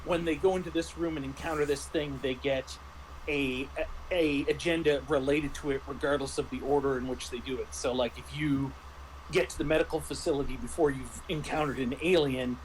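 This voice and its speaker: A man's voice: medium pitch, fairly even intonation, brisk, slight background noise, poor audio. A man in a casual discussion, explaining like a game master and often rephrasing himself mid-thought.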